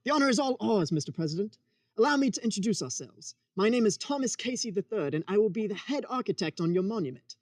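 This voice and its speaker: A woman's voice: medium pitch, expressive, fast, darkish tone, no room sound, natural voice. Lady doing nervous posh architect guy, radio comedy sketch, very up and down, dry sound. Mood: eager, formal. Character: fussy, nervous gentleman architect, head of his firm.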